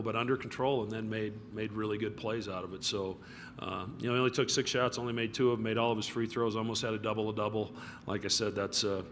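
A man in his fifties, a college basketball head coach, answering press questions in a relaxed, rambling way with many fillers. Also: fairly low pitch. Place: press conference room with backdrop. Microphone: table mic. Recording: noticeable room noise.

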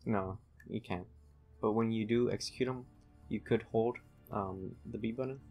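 A young man giving low-key, explanatory commentary while playing a video game at home. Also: fairly even intonation, normal speed, vocal fry, highs cut off, faint music behind. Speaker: gaming channel host playing the game. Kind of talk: casual let's play commentary. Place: room at home.

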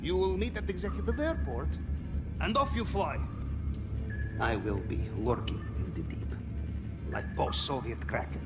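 A man's voice: a little high, wildly swooping pitch, a little slow, pauses often, full character voice. An actor playing a jovial, boastful Russian submarine helmsman in a video game, with a Russian accent, theatrical delivery and clipped broken English. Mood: showy, confident. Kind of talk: video game cutscene acting. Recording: music under the voice.

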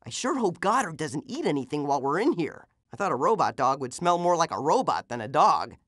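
Boyish teen ghost superhero for a video game, wisecracking and earnest, pitch jumps all over, super dry sound. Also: male voice, sing-song, fairly quick, fairly bright tone, no room sound. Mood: wry, chatty. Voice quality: youthful, boyish. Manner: exclamatory, wisecracking. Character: plucky teenage half-ghost boy superhero, wisecracking, earnest. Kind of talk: video game character voice clips.

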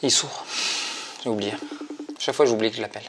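A man's voice: on the low side, fast talker, music in the background. A French man in his thirties chatting casually as a co-host, recorded on a lapel mic in a living room. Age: 30s. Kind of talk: casual chat. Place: living room at a table, indoors. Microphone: clip-on lapel mic.

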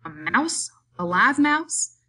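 A woman playing a small, irritable, weary male migrant worker in an audiobook, scolding in rough, rustic speech. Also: fairly high pitch, big pitch swings, slow, sharp tone, pitched above the performer's own voice, heavily acted. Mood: stern, suspicious.

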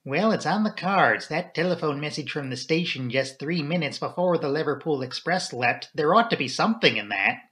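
A man's voice: fairly high pitch, animated, normal speed, few pauses, fairly bright tone. A man voicing a grave, fussy local police inspector in an audiobook, plain-spoken, blunt and stubborn. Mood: eager. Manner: blunt, matter-of-fact, stubborn.

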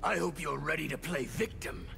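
An actor playing a proud, stern soldier in a video game, commanding and deliberate with a gravelly edge. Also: male voice, on the high side, noisy background. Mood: threatening. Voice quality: gravelly, hard-edged.

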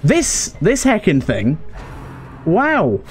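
A man's voice: high voice, wildly swooping pitch, very slow, soft background music. A man giving casual let's play commentary as a gaming host, talking to himself and to the characters on screen. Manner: talks to himself and to game characters.

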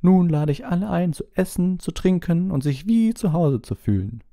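A man voicing an elderly, genial wizard headmaster giving formal, measured addresses in a storybook reading. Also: high voice, lively intonation, mellow, no room sound, studio quality, voice pushed up. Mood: beaming, welcoming. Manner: ceremonious, measured public address.

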